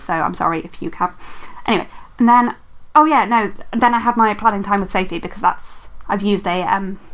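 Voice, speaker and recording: A woman's voice: mid-range voice, fairly bright tone, a little hiss or hum. A young woman chatting casually in a voice-over, rambling with lots of ums and corrections, her throat a little dry. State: slightly dry throat from dehydration. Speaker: planner vlogger. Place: quiet home room.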